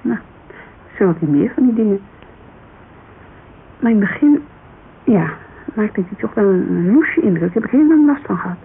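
Radio drama part: Dutch woman, thirties played by an actress, guarded and wistful, gravelly fry in the voice, hissy narrow-band recording. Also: medium pitch, lively intonation, moderate pace, pauses often, natural voice. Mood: earnest. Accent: Dutch, Netherlands. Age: 30s. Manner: hesitant, colloquial, searching for words. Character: thirty-three-year-old Amsterdam model agency owner, guarded, wistful, candid. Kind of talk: naturalistic radio drama dialogue.

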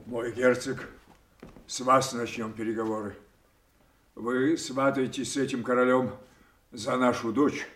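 Man acting a proud wrathful old king, slow and echoing. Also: mid-range voice, little pitch movement, a bit bright, close to an everyday voice. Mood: cold, scornful. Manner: grand, commanding, declamatory. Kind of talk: theatrical Russian audio drama acting. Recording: roomy echo, somewhat distant from microphone.

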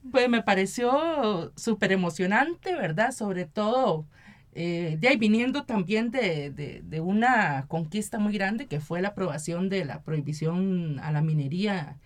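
A woman's voice: mid-range voice, animated, a little slow. A Costa Rican woman giving earnest, explanatory interview answers as an activist, often checking in with short tag questions. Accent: Costa Rican Spanish. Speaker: interview guest, environmental activist and municipal council member.

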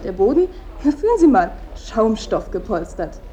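An actress playing a brisk saleswoman in a radio play, demonstrating goods with insistent patter. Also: a little high, very animated, warm tone, slight background noise. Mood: eager selling. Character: brisk saleswoman praising a child's safety playpen.

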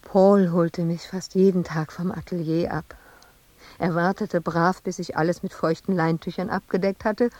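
A slow-speaking, melancholy woman, creaky voice. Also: lowish voice, no echo, full character voice, low-quality recording. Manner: halting, hushed, intimate delivery with pauses. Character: melancholy woman sculptor remembering her family and past. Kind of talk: radio drama monologue.

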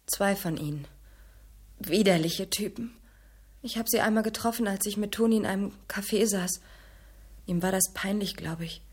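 A woman's voice: mid-range voice, normal speed, long pauses, slightly rough, lightly acted. An actress playing a troubled young woman in a radio drama, confiding earnestly and hesitantly. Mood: uneasy, confiding. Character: troubled young woman, ashamed of her brother.

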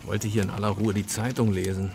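A man's voice: fairly low pitch, level tone, fairly quick, gravelly, a little mellow. A man acting in a radio play as a fussy, indignant customer with an officious, condescending manner. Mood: snooty. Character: fussy, indignant, snobbish male department-store customer. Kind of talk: German radio play dialogue.

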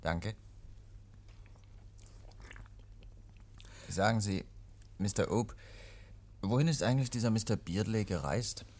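An actor playing a composed, dry-witted police inspector in a 1950s radio play, measured and quietly insistent. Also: male voice, fairly low pitch, lightly acted. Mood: probing. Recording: vintage 1950s radio recording.

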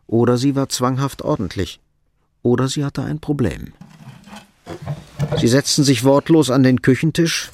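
A man narrating a radio drama in a measured, understated, literary style. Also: medium pitch, a little mellow, no echo, clean studio sound.

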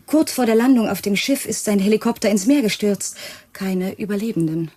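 A female actor as a cool executive in a radio play. The tone is dark and relaxed, in a dry close studio sound. Delivery is businesslike and composed, with faint irony. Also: medium pitch, a little fast, dry recording, close to an everyday voice. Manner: composed, matter-of-fact, faintly ironic. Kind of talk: radio drama acting.

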